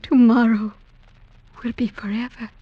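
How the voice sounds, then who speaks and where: An actress in a 1940s radio drama playing a tender young woman who pleads softly with her lover, her voice breathy and often whispered. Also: a little high, flat delivery, measured pace. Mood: tender pleading. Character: tender young woman, the blind hero's beloved. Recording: dull lo-fi old radio recording.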